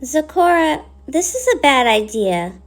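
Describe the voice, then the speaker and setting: A woman's voice: sits high, very animated, measured pace. A woman voicing a worried, protective young female friend in a cartoon fan drama. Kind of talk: scripted cartoon fan voice acting.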